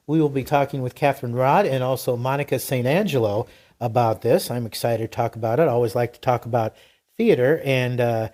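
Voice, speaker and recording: A man's voice: medium pitch, brisk, darkish tone, no room sound. An American man hosting a podcast in a folksy, chatty way, reading sponsor messages and interviewing guests. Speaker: podcast host. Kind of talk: sponsor reads and conversational interviewing.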